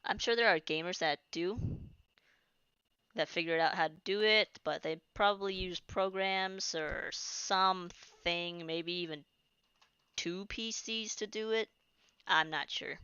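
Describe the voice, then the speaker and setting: A woman's voice: on the low side, a little slow, pushed and bright, clean tone, no echo. A woman chatting casually during a live game stream, rambling and restarting sentences, her voice starting to tire. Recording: dull lo-fi stream audio.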